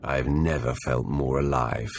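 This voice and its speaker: An actor playing a confident, imposing dark warrior in a video game, speaking in a commanding, cold and defiant manner. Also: male voice, extremely low pitch, fairly even intonation, measured pace, creaky, heavily acted. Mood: proud, assured. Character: confident, imposing young Sith warrior, the emperor's enforcer. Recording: music under the voice.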